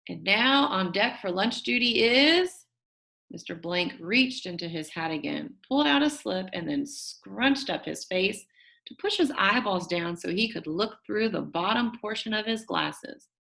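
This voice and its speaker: A woman's voice: lowish voice, expressive, measured pace, gravelly, a bit bright. A woman reads a children's story aloud, telling it expressively and acting out the characters' lines. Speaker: audiobook reader.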